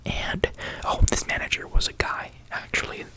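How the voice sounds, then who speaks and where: A tired young adult YouTuber telling a story in a soft unvoiced whisper, rambling with many likes and ums. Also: normal speed.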